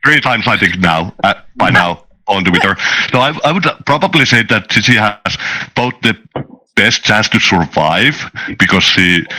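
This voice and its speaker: A man talking casually as a game developer, in halting, stop-and-start phrases. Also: sits low, sharp tone, low-quality recording. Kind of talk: casual chat.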